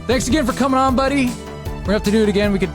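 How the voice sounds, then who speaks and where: A man's voice: very high-pitched, rapid-fire, music in the background. A man chatting casually as a podcast host, informal and emphatic, often repeating himself. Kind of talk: casual chat.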